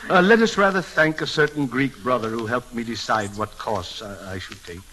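An actor playing a sly old deacon who feigns shy innocence with flowery, fussy, mock-humble talk in 1940s radio comedy style. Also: male voice, mid-range voice, moderate pace, pushed and bright, close to an everyday voice, poor audio. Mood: innocent, fussy.

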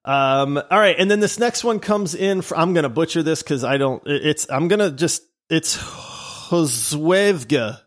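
A man co-hosts a gaming podcast with eager enthusiasm, often restarting his sentences. His voice runs a little high and swings lively up and down in pitch. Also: unhurried, few pauses. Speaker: podcast co-host. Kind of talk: casual podcast chat.

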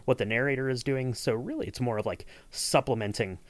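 A man's voice: medium pitch, a little fast, no room sound. A man hosting a casual behind-the-scenes podcast, talking in a loose, hesitant, rambling way. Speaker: podcast host.

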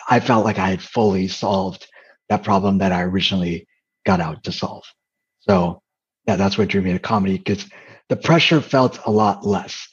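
A soft-spoken man with a breathy, slightly low voice talks openly in an interview. He hesitates often, restarting his sentences and leaning on fillers. The audio is dull and muffled. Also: moderate pace. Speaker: interview guest, comedian and dating coach. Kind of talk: candid conversational interview.

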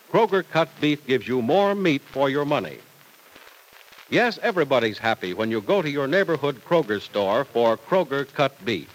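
Casting: male announcer for vintage radio, emphatic scripted sales pitch, mid-range voice with big melodic swings. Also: low-quality recording.

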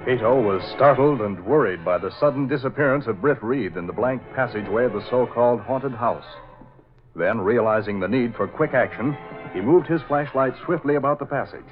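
A man's voice: mid-range voice, brisk, soft background music. A man announcing and narrating in scripted 1940s radio style, with dramatic, emphatic delivery. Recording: old lo-fi radio broadcast.

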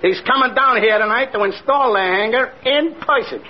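Small-time con man, extremely high male voice, glib and over-friendly, vintage radio comedy, muffled sound. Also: normal speed. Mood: falsely encouraging. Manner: glib, hustling patter. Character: fast-talking small-time male swindler, falsely chummy. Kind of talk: 1940s-50s radio comedy acting. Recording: muffled old radio with clear noise.

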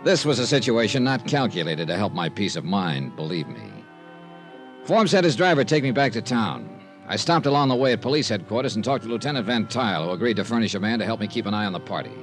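A hard-boiled, wary American investigator in classic radio drama style. His voice sits fairly low but moves around a lot, wry and clipped. It sounds like an old broadcast. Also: very animated, fast talker, faint music behind. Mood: wry narration. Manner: wry, clipped, matter-of-fact. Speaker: detective narrator and lead.

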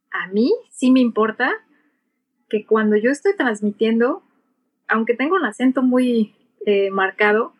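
Middle-aged Mexican woman explaining conversationally. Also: a little high. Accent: Mexican Spanish. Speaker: interview guest, pilot and aviation English instructor. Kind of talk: conversational interview answers.

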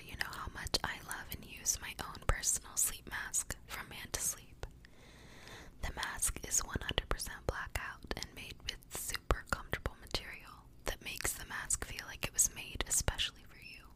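A woman hosting an ASMR podcast in a soft, breathy whisper, speaking gently and without hurry into a close microphone. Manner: gentle, slow, unhurried delivery. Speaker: podcast host.

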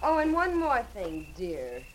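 An actress playing a tough, taunting young murderess in 1940s radio crime drama style, hard and brazen. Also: female voice, medium pitch, huge pitch swings, a little slow, a crowd in the background, poor audio. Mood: brazen, confessing. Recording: old radio recording, faint noise.

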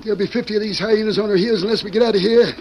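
An actor playing a tough, hot-headed Western cowboy in old radio drama, blustering in folksy frontier slang. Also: male voice, high voice, fairly even intonation, racing, a little mellow. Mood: urgent. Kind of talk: 1940s radio Western acting. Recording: noisy old radio recording.

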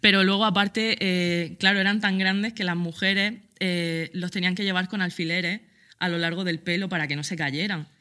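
A woman podcast guest with a bright, forward voice, laying out fashion history with eager enthusiasm. She talks casually and explains things step by step. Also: fairly low pitch, steady tone, moderate pace. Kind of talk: casual chat.

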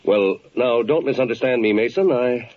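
An actor playing a polite, hesitant hospital supervisor in an old-time radio drama, formal and diplomatic. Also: male voice, medium pitch, normal speed, natural voice. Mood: apologetic. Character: polite but fussy hospital supervisor, apologetic authority. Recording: muffled, slightly noisy old radio recording.

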